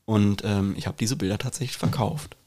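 A man's voice: fairly low pitch, steady tone, normal speed, darkish tone. A man talking in a casual podcast chat, reflective and informal, with English slang mixed in. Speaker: podcast host and painter.

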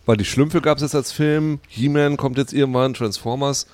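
A man's voice: mid-range voice, fairly even intonation, normal speed, darkish tone. A man co-hosting a casual podcast chat, rambling with lots of fillers and restarts, and laughing easily. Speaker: podcast co-host.

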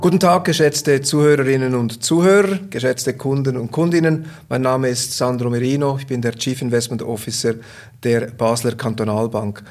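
A man's voice: mid-range voice, steady tone, moderate pace, a little mellow, faint music behind. Formal man hosting a finance podcast. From Switzerland. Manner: polite, formal, occasional fillers. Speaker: podcast host, bank investment officer.

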